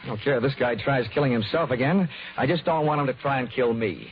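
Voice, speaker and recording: An actor playing a cocky, wisecracking American insurance investigator in an old-time radio detective drama, with a wry, punchy delivery. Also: male voice, medium pitch, expressive, fast talker, slight background noise, close to an everyday voice. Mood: mocking, brisk. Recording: dull vintage radio recording.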